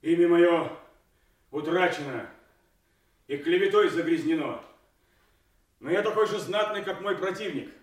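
An actor playing a grave, resolute noble knight in a classical audio drama, speaking solemnly and deliberately. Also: male voice, fairly high pitch, reverberant, natural voice. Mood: solemn challenge.

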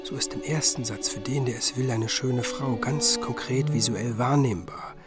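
An actor playing an observant, cultured musicologist who speaks in a measured, literary way in a radio drama. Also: male voice, mid-range voice, lightly acted. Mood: intent, evocative. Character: observant, cultured male musicologist narrator, precise and reflective. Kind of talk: radio drama narration and dialogue. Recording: music behind the voice.

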